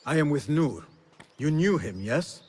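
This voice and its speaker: An actor playing an earnest, humble and determined young assassin in a video game cutscene. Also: male voice, fairly high pitch, big pitch swings, unhurried, a little mellow, breathy edge, soft background music, exaggerated voice. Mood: calm, resolute.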